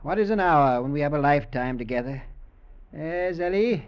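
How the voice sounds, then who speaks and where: A man's voice: a little high, animated, normal speed, clean tone, natural voice. Man playing a sly, menacing old executioner, vintage radio. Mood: sly false sweetness. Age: older. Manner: pointed, insinuating, mock-affectionate questioning. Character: menacing old executioner, possessive, slyly mocking husband. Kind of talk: 1940s-50s American radio drama acting. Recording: dull vintage radio recording.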